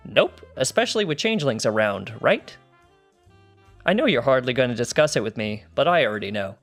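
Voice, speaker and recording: A man voicing a skeptical pony guard in a story reading, brisk, incredulous and exasperated. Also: medium pitch, sing-song, a bit bright, faint music behind. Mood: exasperated, explaining. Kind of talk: fan fiction character dialogue.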